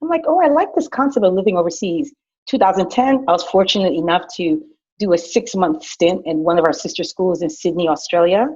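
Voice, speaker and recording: A middle-aged woman from Guyana, an educator, telling her leadership story in a lively, chatty way with fillers and restarts. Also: on the low side, brisk, husky. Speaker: director of innovation at a school. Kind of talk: personal leadership story, casual. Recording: dull lo-fi recording.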